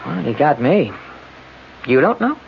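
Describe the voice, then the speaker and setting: Animated, nervous, gallant man. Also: fairly high pitch, gravelly, heavily acted. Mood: uneasy. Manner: slangy, halting. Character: nervous but gallant young man, lost and struggling. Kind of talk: 1970s radio drama acting. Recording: dull, noisy old radio broadcast recording.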